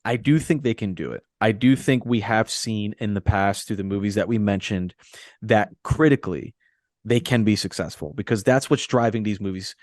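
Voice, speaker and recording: Lower-voiced man in a dry, close recording. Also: moderate pace, darkish tone, dry recording. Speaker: podcast co-host. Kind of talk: casual movie discussion.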